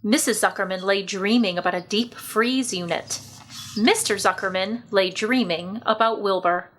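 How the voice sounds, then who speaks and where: A woman narrating a children's book aloud in an expressive read-aloud style, with careful, deliberate enunciation. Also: mid-range voice, a little hiss or hum.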